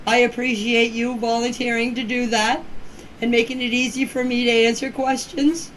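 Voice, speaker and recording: A woman's voice: a little high, fairly even intonation, normal speed, a bit bright, a little hiss or hum, low-quality recording. A woman in her fifties giving informal personal voice messages in a plainspoken, earnest, emphatic way, repeating herself for stress. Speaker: community member speaking about a case. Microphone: phone voice message.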